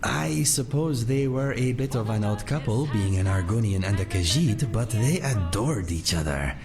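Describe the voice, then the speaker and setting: A man playing a gentle, courteous cat-folk sellsword in a fantasy game, speaking in measured, formal phrases without contractions. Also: lowish voice, lively intonation. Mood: wistful. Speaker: companion character in a fantasy video game. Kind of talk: scripted game dialogue. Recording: faint music under the voice.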